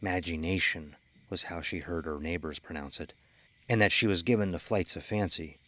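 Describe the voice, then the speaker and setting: A man's voice: low-pitched, normal speed, fairly bright tone. A man reading a scripted horror story as its narrator.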